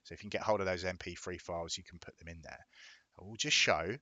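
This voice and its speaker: A man narrating a casual software tutorial in a plain-spoken, step-by-step explanatory way. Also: low voice, quick pace, dry recording. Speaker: video tutorial presenter.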